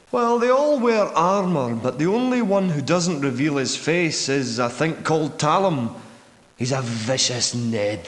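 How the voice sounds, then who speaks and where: Echoing, weary old Scottish war veteran. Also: male voice, on the high side, a bit bright. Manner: guarded, plain-spoken, dramatic delivery. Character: weary, wary old war veteran trapped underground for years. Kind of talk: video game character dialogue. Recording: echoey, long hall-like reverb.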